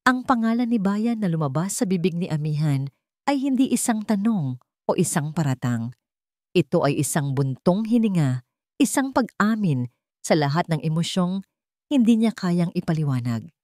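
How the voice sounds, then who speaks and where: A woman's voice: fairly low pitch, big pitch swings, normal speed, warm tone, dry recording, studio quality. A woman with a Filipino accent reading a romance story as a scripted, dramatic narration.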